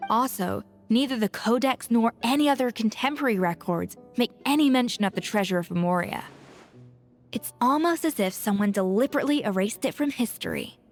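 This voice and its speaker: A thoughtful young woman who pieces a mystery together in a fairly high voice. She reasons aloud in a calm, questioning way. Soft game music plays behind her. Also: brisk. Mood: pensive. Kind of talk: game dialogue voice acting.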